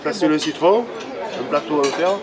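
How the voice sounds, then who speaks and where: A man's voice: fairly high pitch, moderate pace, background noise. A man working as a waiter, presenting the fish to customers in a plain, listing way. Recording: loud crowd chatter behind.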